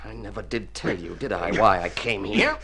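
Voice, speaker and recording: An actor playing a young Texan outlaw in a radio drama, with a terse, menacing, resolute manner. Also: male voice, fairly low pitch, lively intonation, moderate pace, creaky, pushed and bright, heavily acted. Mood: threatening. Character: gruff young Texan outlaw posing as a long-lost son.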